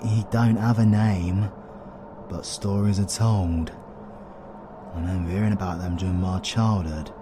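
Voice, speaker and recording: Cocky, low-voiced British supervillain played by a man. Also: steady tone, measured pace, pauses often, noisy background. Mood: awed, wary. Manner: blunt, sarcastic, colloquial banter. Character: cocky, sweary, sardonic male supervillain antihero. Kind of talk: audio roleplay acting.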